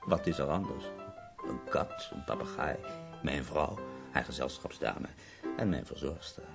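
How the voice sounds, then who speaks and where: Very deep, gravelly sardonic dying poet. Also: male voice, a little slow, over music, heavily acted. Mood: wry. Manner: theatrical, wry, complaining. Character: dying bedridden poet, sardonic, self-pitying, witty. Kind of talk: radio play acting.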